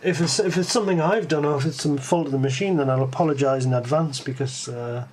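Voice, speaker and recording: A Geordie man with a voice sitting a little above the usual male range. He hosts a live stream and talks his thoughts through, often pausing on ums and restarting sentences. His delivery is unscripted and conversational. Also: on the high side, darkish tone, a little hiss or hum. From Tyneside, England. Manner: hesitant, full of ums and restarts, musing aloud. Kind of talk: live tech stream, thinking aloud and answering chat.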